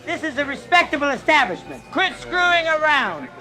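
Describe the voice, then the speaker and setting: A brash, scolding diner woman played by an actress in a motion comic. Her voice is extremely high and piercing, sharp-tongued and abrasive, with faint music behind. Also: sing-song, normal speed, exaggerated voice.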